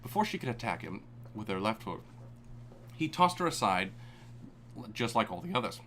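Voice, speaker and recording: A man's voice: lowish voice, very animated, normal speed, bright, forceful tone, a little hiss or hum. A young man reading a story aloud on a live stream, stumbling and self-conscious about it.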